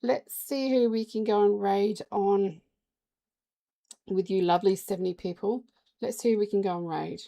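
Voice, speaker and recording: Hoarse woman streamer with a gravelly voice. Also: mid-range voice, moderate pace, dark tone. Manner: chatty, rambling, talks straight to viewers. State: hoarse, has the flu. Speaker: game live streamer. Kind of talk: casual stream chat with viewers.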